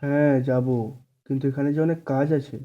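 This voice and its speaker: A man's voice: medium pitch, level tone, a little fast, muffled recording, lightly acted. A man playing a sly, ingratiating middle brother who shirks work, in a cartoon village family drama.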